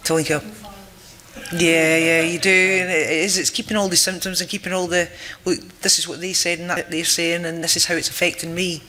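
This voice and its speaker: Bright, flat-toned chatty man. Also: on the high side, brisk. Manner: chatty, joking. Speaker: patient panelist. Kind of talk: casual panel talk.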